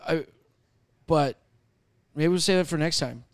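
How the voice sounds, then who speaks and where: Clear-voiced man in his thirties, dry sound. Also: on the high side, a little slow, no room sound. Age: 30s. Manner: loose, swearing, rambling with fillers. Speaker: podcast host and stand-up comedian. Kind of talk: casual podcast banter.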